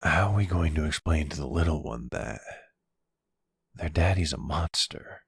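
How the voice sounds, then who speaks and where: A man playing a charming middle-aged mobster and remorseful expectant father in an intimate, soft-spoken, affectionate ASMR roleplay. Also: very deep voice, vocal fry, husky, dry recording, clean studio sound, exaggerated voice. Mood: regretful, tender. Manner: soft, soothing, affectionate, unhurried.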